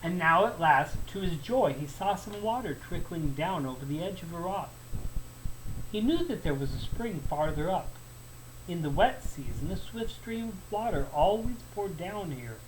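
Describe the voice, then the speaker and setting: A man narrating a folktale as a storyteller, in an expressive, deliberate and emphatic way. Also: on the high side, poor audio. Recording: faint background noise.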